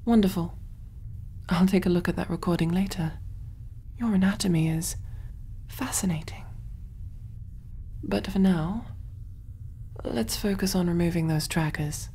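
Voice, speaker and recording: A woman voicing a kind, clinical alien surgeon in a gentle, reassuring ASMR roleplay, soft-spoken and often whispering. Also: on the low side, unhurried, long pauses, a little hiss or hum. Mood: fascinated.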